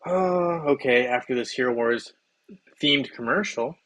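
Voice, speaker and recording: A slightly hoarse man hosting a game livestream, chatting loosely with viewers while he plays, with plenty of ums and restarts. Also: mid-range voice, a little slow, a bit bright. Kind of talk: casual livestream chat while playing.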